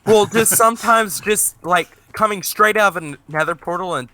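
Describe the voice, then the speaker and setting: Southern US man, gamer banter, teasing and mock-stern; very bright, crisp tone. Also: high-pitched, normal speed, no echo. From American South. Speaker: gamer on a multiplayer server. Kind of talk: casual joking banter.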